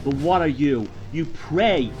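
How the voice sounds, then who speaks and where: A man's voice: fairly high pitch, lively intonation, slow pace, highs cut off, music in the background. A man voicing a defiant Dublin underdog in a told story, boastful and indignant, declaiming scornfully at those who mock him. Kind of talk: dramatic storybook character voice.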